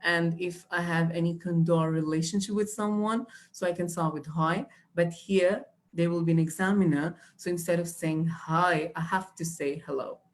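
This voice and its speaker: A woman's voice: fairly low pitch, steady tone, normal speed, hardly any pauses. A young woman, teaching exam tips patiently with many fillers and restarts. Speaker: IELTS trainer. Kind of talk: live online teaching.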